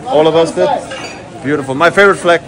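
A man's voice: fairly high pitch, quick pace, crowd noise. A man in his thirties vlogging as he walks through a busy outdoor market, chatty and upbeat, greeting people as he passes. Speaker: travel vlogger. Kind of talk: walking vlog to camera. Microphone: handheld action camera at arm's length. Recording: music under voice. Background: music bed.

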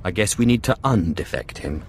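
An actor playing a cool, commanding, dryly humorous Sith sorcerer in a video game, measured, terse and self-assured. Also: male voice, low-pitched, lively intonation, a little hiss or hum. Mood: calm, dry. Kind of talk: video game character dialogue.

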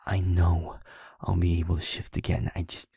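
A man playing a feisty, wounded young werewolf boyfriend in an intimate audio roleplay, breathy and husky, speaking haltingly with heavy pauses. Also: low-pitched, monotone, warm tone, dry recording, studio quality, heavily acted. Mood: weary, subdued. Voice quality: breathy, husky, often half-whispered.

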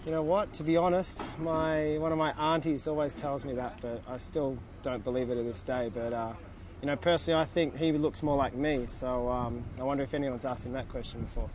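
A man's voice: medium pitch, fairly even intonation, a little fast, a little mellow, slight background noise. A young man casually reading out fan questions to camera outdoors by a harbour, joking as he goes. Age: young adult. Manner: cheerful, joking, reads questions aloud. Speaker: sailor hosting a fan Q&A. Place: outdoors at a boat tent by a harbour. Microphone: handheld camera close up.

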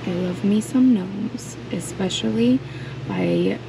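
Slow, gravelly-voiced woman vlogger. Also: mid-range voice. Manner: chatty, admiring, frequent ums. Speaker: reseller vlogger. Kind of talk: casual shopping vlog narration. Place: indoor antique mall. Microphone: handheld camera. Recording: fan noise in the background.

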